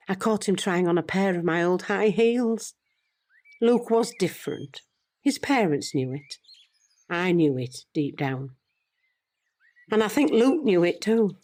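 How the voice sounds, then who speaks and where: An older woman playing a loving, regretful British grandmother in an audio drama, confiding her story in a reflective, measured way. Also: on the low side, a little mellow. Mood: fond, wistful.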